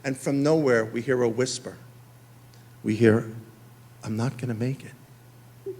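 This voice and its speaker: A middle-aged man, telling a stand-up story on a theater stage and acting out the dialogue in quick back-and-forth. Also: mid-range voice. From Queens, New York. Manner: animated, acts out dialogue in quick exchanges. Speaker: stand-up comedian.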